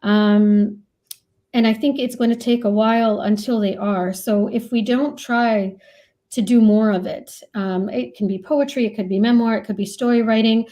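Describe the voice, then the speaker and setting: A woman's voice: a little high, steady tone, moderate pace, dark tone. A woman from Iraq, an author being interviewed, giving thoughtful, reflective answers in a conversational way. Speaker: author and professor, interview guest.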